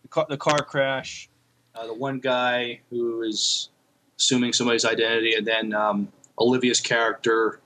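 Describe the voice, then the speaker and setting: A man co-hosting a podcast in casual chat, speaking haltingly and often repeating words as he thinks. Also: medium pitch, flat delivery, bright, forceful tone, rough recording. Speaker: podcast co-host. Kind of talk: casual review chat about TV shows.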